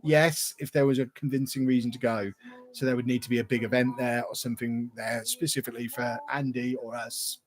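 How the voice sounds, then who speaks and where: A man chats his way through a livestream Q&A. He rambles, reads viewer questions aloud and answers them at his own pace. His voice sits at an everyday middle pitch. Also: steady tone, fairly quick. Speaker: YouTuber hosting a livestream Q&A. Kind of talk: casual livestream chat.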